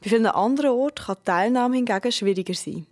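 A female radio reporter, reading a scripted news feature in a measured, even way. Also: fairly high pitch.

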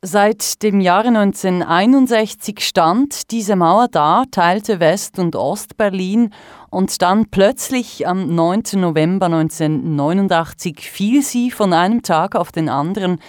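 A woman's voice: mid-range voice, a little slow, dry recording. Female interviewer with a mid-range voice, formal phrasing and prepared questions, recorded dry.

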